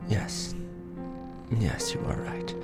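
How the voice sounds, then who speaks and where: A man voicing a weary, tender aristocratic vampire lord in an ASMR romance roleplay, murmuring softly and formally, close to the microphone. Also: takes it slowly, natural voice. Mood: tender, apologetic. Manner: soft, breathy murmuring, formal archaic phrasing. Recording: background music bed.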